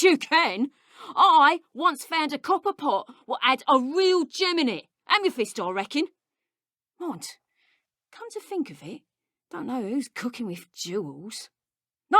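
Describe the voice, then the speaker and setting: A woman playing a brash, boastful dark wizard poacher in a video game, with a working-class English accent and a cheeky, colloquial way of talking. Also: fairly high pitch, no echo, studio quality, exaggerated voice. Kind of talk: scripted video game enemy voice lines.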